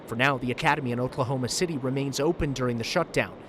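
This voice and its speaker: A man's voice: medium pitch, very fast, noisy background. An American man delivering a broadcast news report as a TV reporter.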